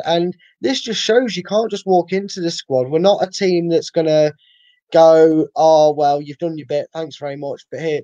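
A young man talks football casually and with firm opinions. His voice sits a little high yet has a dark, relaxed tone, recorded dry and slightly dull over a video call. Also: dry recording. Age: young adult. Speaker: fan channel co-host. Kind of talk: casual football post-match chat. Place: living room at home, indoors. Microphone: video call, webcam.

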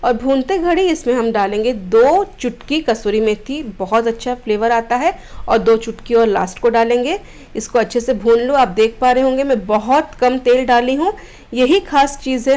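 Woman, from Odisha, cooking channel host, slightly high voice, friendly step-by-step voice-over. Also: normal speed, a little mellow. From Odisha, India. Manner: friendly step-by-step instructions, mixing English words. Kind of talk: recipe voice-over narration.

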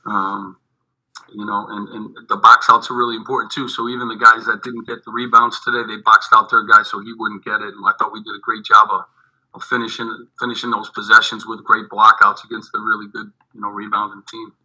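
A middle-aged man, a head basketball coach, answering postgame questions over a video call, halting and repeating his words, with many fillers. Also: lowish voice, level tone, bright, forceful tone, low-quality recording. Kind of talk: postgame press conference answers. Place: indoors in front of a team backdrop. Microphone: video call webcam.

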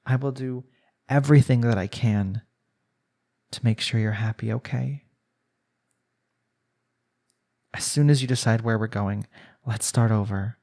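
A man's voice: mid-range voice, normal speed, mellow, natural voice. Man acting a lovestruck, earnest young husband. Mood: relieved, tender. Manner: earnest, pleading, sighing delivery. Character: jealous, lovestruck young husband pleading with his spouse. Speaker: audio roleplay voice actor. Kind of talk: intimate romantic audio roleplay, one-sided dialogue.

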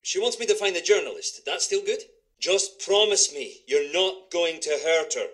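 A restless, secretive informant in a video game. His voice is high and sharp, with animated swings of pitch. He speaks tersely, as a man on edge. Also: normal speed. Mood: tense, irritated. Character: edgy, secretive man working as reluctant informant.

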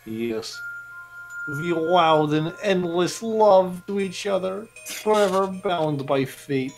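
A man voicing a creepy, lovesick young man with a theatrical, drawn-out delivery in a game fan dub. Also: high voice, expressive, soft background music, exaggerated voice. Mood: yearning, reverent. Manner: slow, dramatic, drawn-out delivery.

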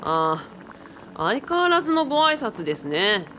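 A woman's voice: medium pitch, very animated, a little slow. A woman plays a polite, good-looking assistant in a radio play. The delivery is composed and courteous yet melodic, with slight background noise. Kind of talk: radio drama acting.

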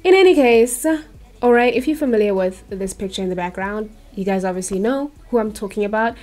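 A woman's voice: medium pitch, expressive, fairly quick, a little mellow, soft background music. A young woman from South Africa running her own channel in casual chat, bubbly and checking in with viewers, her voice a little worn, speaking into a desk mic in a small bedroom. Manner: bubbly, talks to viewers with frequent "okay?". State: losing her voice. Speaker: YouTuber. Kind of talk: casual chatty vlog. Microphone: desk microphone.

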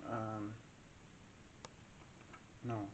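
A man's voice: fairly low pitch, completely flat delivery, very slow, a little hiss or hum, rough recording. A young man vlogging while he cooks in a small home kitchen, mumbling asides and humming along, with the camera propped on the counter. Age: young adult. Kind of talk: casual cooking vlog. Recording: music playing behind. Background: music playing.

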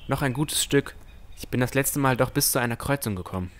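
Ein Mann spielt einen vorsichtigen jungen Entdecker, der sein Fantasy-Abenteuer bedacht und ernsthaft in der Ich-Form erzählt. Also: male voice, mid-range voice, fairly quick, natural voice. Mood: thoughtful. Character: cautious, thoughtful young male explorer narrating his adventure. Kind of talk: first-person scripted narration in fantasy audio drama.

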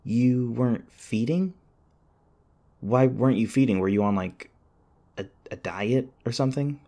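A man playing an anxious, sarcastic young college student in an audio roleplay, rambling and halting in a wry, flustered way. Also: mid-range voice, warm tone, natural voice. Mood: curious, wry. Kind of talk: audio roleplay acting, one-sided dialogue.

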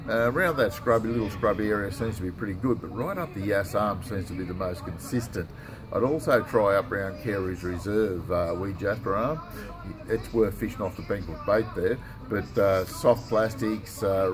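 A man in his sixties with an Australian accent gives an informal report to camera in a laid-back, plain-spoken, colloquial way. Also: fairly low pitch. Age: 60s. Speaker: tackle shop presenter. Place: indoors in a tackle shop.

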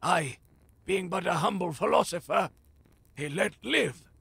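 Frail cursed seer, high-pitched, husky, slow, portentous, theatrical, fantasy audio drama. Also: male voice, bright, forceful tone, dry recording, heavily acted. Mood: bitter, pained. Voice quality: gravelly, husky. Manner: theatrical, portentous, archaic phrasing. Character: cursed, frail male philosopher and seer, ominous and solemn.

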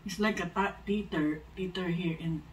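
A woman's voice: fairly low pitch, steady tone, normal speed, far from the mic, low-quality recording. A middle-aged Filipino woman with a Filipino accent, chatting and thanking viewers in a casual home vlog, seated on a living-room sofa. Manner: chatty, coaching, mixes in Tagalog. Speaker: vlog co-host. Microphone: far camera.

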